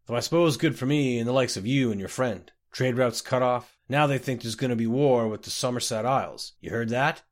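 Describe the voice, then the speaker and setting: A man's voice: medium pitch, fairly quick, few pauses, close to an everyday voice. A man voicing a drunken, world-weary elf sea captain with a slurred, grumbling manner in an audiobook story. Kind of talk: audiobook character voice.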